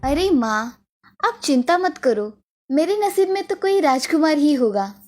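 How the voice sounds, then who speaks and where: Guy putting on a vain spoiled girl, way high and thin, snooty, cartoon. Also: sing-song, a little slow, darkish tone, soft background music, exaggerated voice. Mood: dreamy. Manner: haughty, dreamy. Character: spoiled proud young village woman. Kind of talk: cartoon voice acting.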